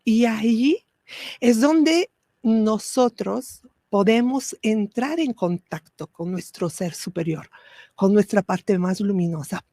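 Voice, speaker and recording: A woman whose first language is Italian gives a spiritual teaching talk, slow and measured, with pauses and fillers. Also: mid-range voice, mellow, dry recording, clean studio sound.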